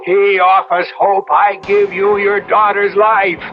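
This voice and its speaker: An actor playing an anguished, obsessive young student mystic in an intense, brooding radio drama style. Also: male voice, high-pitched, little pitch movement, slow pace. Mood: insistent. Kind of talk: old-time radio drama acting. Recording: dull, noisy old radio recording.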